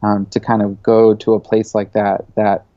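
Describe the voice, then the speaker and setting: A man in his thirties, raised in the US, answers interview questions in an easygoing, rambling way, with frequent fillers. His voice sits a bit low. The recording is dry and slightly dull, as from a small treated studio. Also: monotone, normal speed, no room sound. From born in Saudi Arabia, raised in the US. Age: 30s. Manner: loose, filler-heavy talk with many "you know"s and "like"s. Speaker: interview guest, media creative. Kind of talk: casual conversational interview.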